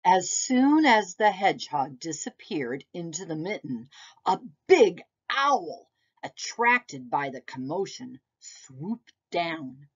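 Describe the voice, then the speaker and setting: A woman with a fairly low voice reads a storybook aloud for young children. Her phrasing is deliberate and unhurried, and her pitch moves up and down expressively as she tells the tale. The recording is a little dull and lo-fi. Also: very animated, slightly rough. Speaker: preschool teacher reading a picture book aloud.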